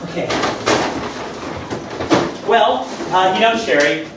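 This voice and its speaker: A young man playing an earnest, pretentious millennial amateur chef in live sketch comedy, speaking in a halting, self-important way in a theater. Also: high voice, lively intonation. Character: earnest pretentious twenty-something male amateur chef, storyteller. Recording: echoey theater, distant mic, room noise.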